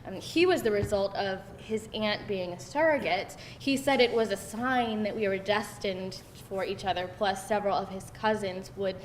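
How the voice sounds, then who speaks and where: A woman's voice: moderate pace, hardly any pauses, natural voice. A woman playing a frank, sardonic twenty-eight-year-old career woman in a screenplay table read. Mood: dry, aggrieved. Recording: roomy echo, rumbly background noise.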